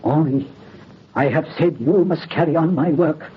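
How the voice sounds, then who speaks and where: High-voiced, vengeful dying old count played by a man. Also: moderate pace, natural voice. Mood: grave, solemn. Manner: theatrical, archaic formal diction. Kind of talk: old-time radio horror acting. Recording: muffled, noisy old radio recording.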